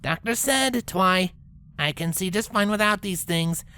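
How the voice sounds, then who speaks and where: A woman's voice: medium pitch, a little slow, bright, forceful tone, clear, resonant tone. A woman voicing a brash, sulky young female cartoon pegasus who grumbles in cocky slang. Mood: casual, stubborn. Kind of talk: character acting in a fan-fiction story reading.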